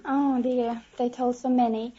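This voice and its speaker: A woman's voice: on the high side, flat delivery, a little slow. A woman with an Australian accent chatting hesitantly as a quiz show contestant on an old radio recording. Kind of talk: hesitant casual chat. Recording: muffled old radio recording, faint audience noise.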